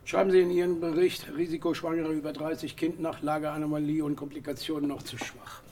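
Fairly high male voice, brusque prison doctor played by an actor, curt orders, dismissive, radio drama, faint noise. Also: little pitch movement, fast, darkish tone, close to an everyday voice. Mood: curt, commanding.

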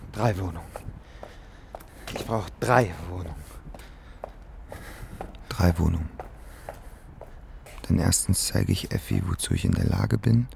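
An actor playing a pensive, earnest young man in a radio drama, speaking in an introspective, halting way. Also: deep voice, huge pitch swings, creaky, a little mellow, a little hiss or hum, full character voice. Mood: determined.

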